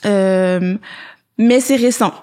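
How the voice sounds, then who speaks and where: Female podcast guest, thoughtful and hesitant, some English, mid pitch. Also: very slow, warm tone, clear, resonant tone. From Montreal, Canada. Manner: reflective, hesitant, mixes in English. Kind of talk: casual podcast chat.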